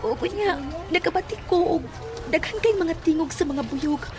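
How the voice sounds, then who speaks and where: Very high-voiced, frightened young woman played by an actress. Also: moderate pace. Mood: anxious, fearful. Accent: Cebuano. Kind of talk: Cebuano radio horror drama acting.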